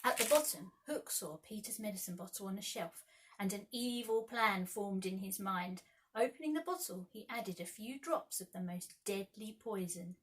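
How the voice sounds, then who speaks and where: A woman narrating a children's storybook aloud with expressive, deliberate dramatic phrasing. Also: medium pitch, low-quality recording. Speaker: primary school teacher reading aloud.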